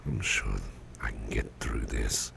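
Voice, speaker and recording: Man voicing a very deep, gravelly, sickly boss. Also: completely flat delivery, full character voice. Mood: gently hopeful. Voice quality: gravelly, breathy near-whisper. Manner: slow, hesitant, folksy and tender. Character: sickly male boss, grateful, affectionate, self-deprecating workaholic. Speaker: ASMR roleplay performer. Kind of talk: whispered ASMR roleplay. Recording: noticeable background noise, soft ambience.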